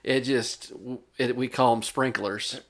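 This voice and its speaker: A man's voice: medium pitch, fairly even intonation, takes it slowly, slight background noise. A man chatting casually as a co-host, with a joking, teasing way of talking. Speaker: podcast co-host. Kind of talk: casual chat.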